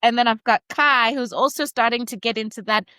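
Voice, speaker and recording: A woman's voice: on the high side, a little fast, sharp tone, smooth, no echo. A woman in her thirties hosting a podcast interview, chatty and relatable, with plenty of filler words. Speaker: podcast host. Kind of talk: conversational interview.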